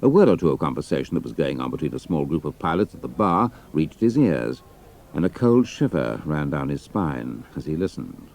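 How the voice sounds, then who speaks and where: A man's voice: extremely low pitch, very animated, fairly quick, gravelly, darkish tone. A man giving a dramatic scripted narration of an adventure story.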